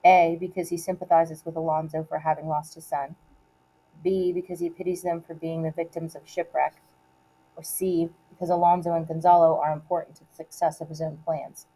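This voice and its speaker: A woman reading aloud like a teacher giving a test, in a measured, deliberate way with short, separated phrases. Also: sits low, little pitch movement, warm tone, low-quality recording. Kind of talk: read-aloud of multiple-choice questions and quoted play lines.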